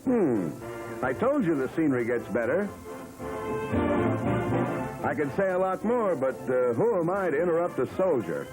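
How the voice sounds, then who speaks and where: A man's voice: a little high, very animated, normal speed, pauses often, rough recording. An American-accented man giving chummy, slangy, wisecracking commentary on a 1940s newsreel. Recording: 1940s newsreel soundtrack with loud music.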